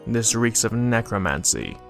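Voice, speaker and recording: A man playing a dry, learned monster hunter in an audio drama, with a measured and wry delivery. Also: medium pitch, expressive, vocal fry. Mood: grave, explaining. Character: dry, knowing male monster hunter with crossbow. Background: background music.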